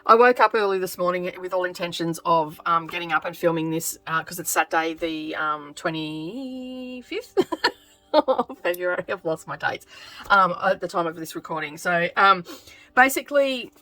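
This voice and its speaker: A woman with a croaky voice chatting casually as she sews, thinking aloud with lots of ums. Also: fairly low pitch, animated, normal speed, pushed and bright, low-quality recording. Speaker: craft vlogger. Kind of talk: casual craft vlog chat.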